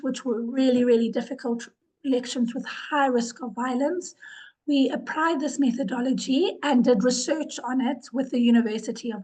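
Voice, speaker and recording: Woman presenter, ill and hoarse, high and husky, earnest webinar talk with fillers. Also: darkish tone, rough recording. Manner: earnest, repeats words for emphasis, with fillers. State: ill, losing her voice. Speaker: webinar presenter, organization director.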